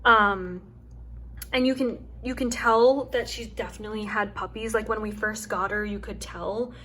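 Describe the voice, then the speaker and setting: A young woman with a soft, calming voice chatting casually and rambling with lots of fillers as she hosts a craft video at home. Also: mid-range voice, moderate pace, a little hiss or hum. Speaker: craft channel host. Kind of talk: casual chat while chopping wax. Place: home with her dog nearby.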